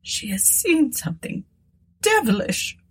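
A woman's voice: sits high, very animated, a little slow, slightly rough, voice pushed up, heavily acted. A woman voicing an anxious, grieving old serving woman with a plaintive manner, in a gothic storybook reading. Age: older.

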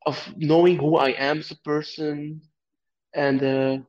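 Guy from aruba talking slow and reflective, fairly high voice, dull lo-fi audio. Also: flat delivery. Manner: reflective, searching for words. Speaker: guest artist. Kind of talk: podcast interview.

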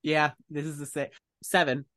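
A man's voice: fairly high pitch, little pitch movement, moderate pace, a bit bright, dry recording. Playfully emphatic man, dry close recording. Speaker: podcast co-host. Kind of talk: casual banter.

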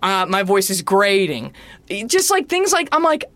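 A woman hosting a podcast in casual chat, with a deep, loud voice and a brash, animated way of talking, swearing freely. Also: unhurried, no echo. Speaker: podcast host.

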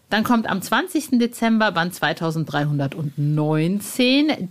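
A woman presenting as a podcast host, in a casual style with announcements. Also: mid-range voice.

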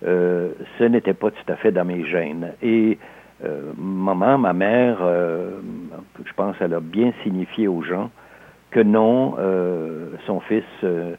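An older man from Alsace with a Québécois accent, a novelist speaking as an interview guest in a measured, halting way with many hesitations. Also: low voice, phone-quality sound. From Alsace, France. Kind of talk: reflective interview talk.

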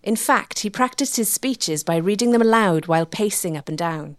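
A woman reading scripted documentary narration in a measured, articulate way. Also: mid-range voice, expressive, dry recording, clean studio sound.

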